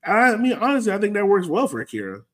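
A man's voice: high-pitched, huge pitch swings, quick pace. A man co-hosting a soccer fan show, talking casually and putting questions out for discussion. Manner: conversational, poses questions to co-host. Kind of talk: casual sports chat.

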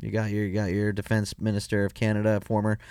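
A man's voice: on the low side, completely flat delivery, quick pace, darkish tone, smooth, no room sound, clean studio sound. A young man co-hosting a podcast, reading listener questions and joking around in loose, profane chat. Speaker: podcast co-host.

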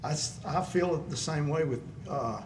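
A man's voice: on the high side, moderate pace. An older man hosting a casual chat about singing, rambling easily and laughing often. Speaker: host. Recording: noisy, distant from the mic.

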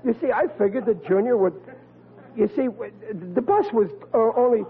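High-voiced, flustered radio dad. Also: male voice, normal speed, slightly rough, phone-quality sound, exaggerated voice. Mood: blustering, defensive. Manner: stammering, flustered. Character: bumbling, blustering family father, excitable and sheepish. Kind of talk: 1940s radio comedy acting. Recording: thin, band-limited old radio recording with hiss.

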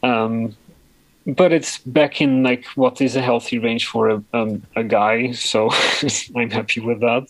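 A man with a deep voice giving a personal account in an interview, often pausing and searching for words. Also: fairly even intonation, no room sound, poor audio. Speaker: interview guest.

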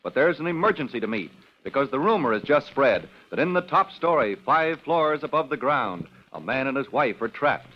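A man's voice: mid-range voice, big pitch swings, normal speed, hardly any pauses. A man announcing and narrating a 1940s radio drama in a declamatory style. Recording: dull old radio recording.

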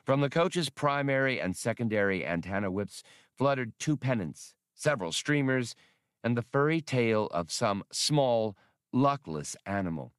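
A man's voice: medium pitch, lively intonation, measured pace, clean tone, no echo, studio quality. A man reading adventure fiction as a scripted, dramatic audiobook narration. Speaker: audiobook reader.

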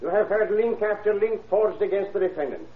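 An actor playing a stern, methodical prosecutor addressing a jury in a formal, deliberate, oratorical way in an old radio drama. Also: male voice, sits high, close to an everyday voice. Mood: stern, persuasive. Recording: echoey, tinny old radio recording.

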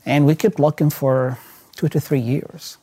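A middle-aged man from Syria speaking in a measured way in an interview, with fillers. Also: on the high side, steady tone, dark tone, dry recording.

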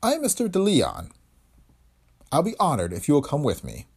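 A man voicing a courtly, sinister bearded man who gives formal, clipped orders, in a husky voice. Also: on the high side, wildly swooping pitch, moderate pace, a little mellow, pitched above the performer's own voice, exaggerated voice.

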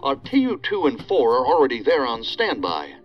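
Guarded federal field leader on a dull comms line, lively pitch swings, deferential, formal, audio drama. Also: male voice, normal speed. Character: dutiful, guarded male federal task force leader. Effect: radio or phone line filter. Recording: dull band-limited call line, music underneath.

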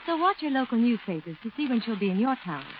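A woman's voice: fairly high pitch, sing-song, fast talker. A woman with an American accent delivering scripted fashion copy in a 1940s radio style. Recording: muffled, faintly noisy 1940s radio broadcast.

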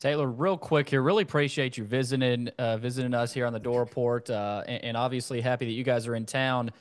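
A man's voice: medium pitch, fairly even intonation, quick pace, clear, resonant tone. A man hosting a casual sports interview, talking in a wordy, roundabout way. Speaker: sports podcast host.

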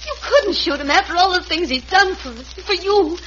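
A woman's voice: very high voice, animated, normal speed, a bit bright. An actress playing a brave, desperate woman in an old radio western, urgent and pleading in a melodramatic style. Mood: distressed. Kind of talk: 1950s western radio acting. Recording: muffled, noisy old radio recording.

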